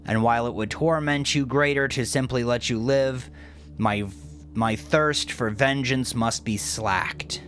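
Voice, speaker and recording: Audio drama henchman, played by a man: raised pitch, loud, stumbling, pompous gloating over background music. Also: fairly even intonation, close to an everyday voice. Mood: gloating, halting. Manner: halting, stumbling, declamatory. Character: gangster henchman haltingly reading a gloating, venomous letter aloud.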